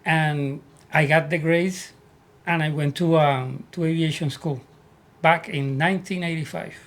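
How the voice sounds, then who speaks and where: Puerto Rican-accented man, earnest, rather high. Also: steady tone, a little slow, a bit bright. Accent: Puerto Rican Spanish. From Puerto Rico. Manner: earnest, repeats words as he searches for English. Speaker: guest, army veteran. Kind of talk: casual interview storytelling.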